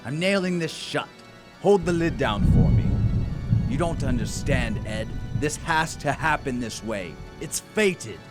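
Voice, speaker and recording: An actor playing a deranged, doom-obsessed gentleman in a gothic audio drama, declaiming ominously and theatrically. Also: mid-range voice, expressive, a little slow, lightly acted. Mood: grim insistence. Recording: loud dramatic music underneath.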